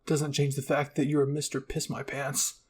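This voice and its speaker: A man's voice: a little high, monotone, moderate pace, darkish tone, husky. A man voicing a teasing, level-headed male soldier in an amateur anime roleplay.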